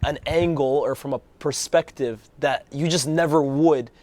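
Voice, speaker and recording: A hoarse man co-hosting a casual football chat, telling stories in a fast, animated way. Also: on the high side, no room sound. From Algerian background. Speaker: co-host.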